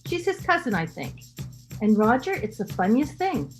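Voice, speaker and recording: A woman playing a frail, devoted invalid wife, gentle and anxiously pleading, in 1930s radio drama style. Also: a little high, very animated, moderate pace, soft background music. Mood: hopeful.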